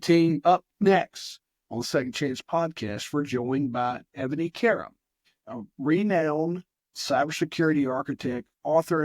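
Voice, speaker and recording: A man's voice: mid-range voice, no room sound. A man with a Southwest Virginia accent hosting a podcast interview, talking loosely with many fillers and restarts. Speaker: podcast host. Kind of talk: conversational interview.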